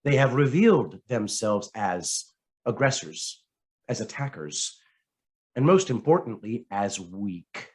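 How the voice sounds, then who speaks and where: A man reading a written essay aloud as scripted narration, in a measured, dramatic way with deliberate pauses. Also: on the low side, very animated, a little mellow. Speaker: reader of a written article.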